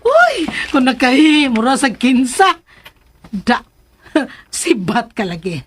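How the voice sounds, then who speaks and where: A woman's voice: high-pitched, wildly swooping pitch, takes it slowly, a little hiss or hum, exaggerated voice. A woman with a Cebuano accent playing a teasing, sulky, flirtatious woman in a radio drama. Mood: sulky, scornful.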